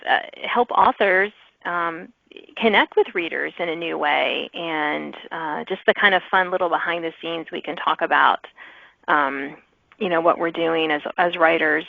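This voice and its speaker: A woman's voice: on the low side, creaky, no echo. Chatty woman podcast host, slightly lo-fi sound. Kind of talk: conversational interview.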